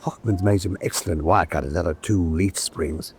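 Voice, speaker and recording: A man's voice: deep voice, normal speed, darkish tone, lightly acted. A man playing an observant, loyal prisoner-of-war officer in a radio drama. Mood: attentive. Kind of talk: vintage-style war radio drama acting. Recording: noisy track with background ambience.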